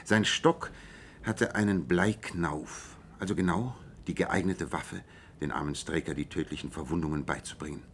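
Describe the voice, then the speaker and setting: An actor playing a shrewd, self-assured male detective in a radio play, explaining in a measured and precise way. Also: low-pitched, slight background noise. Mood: analytical, assured. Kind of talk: German radio play dialogue.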